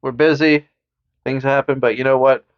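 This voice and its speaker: A man's voice: medium pitch, fairly even intonation, normal speed, highs cut off. Playful young man co-hosting a podcast. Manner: upbeat, laughs often, playful asides. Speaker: podcast co-host. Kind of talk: casual chat.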